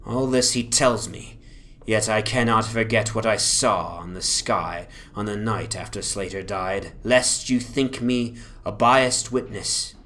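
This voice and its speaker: Low-voiced man narrating gothic horror. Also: a bit bright, slight background noise. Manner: grave, measured, formal storytelling.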